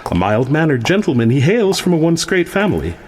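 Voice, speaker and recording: An actor playing a genteel asylum doctor in a radio drama, speaking politely and deliberately with an ominous edge. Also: male voice, a little high, big pitch swings, darkish tone, a little hiss or hum. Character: genteel, theatrical asylum doctor showing off his patients.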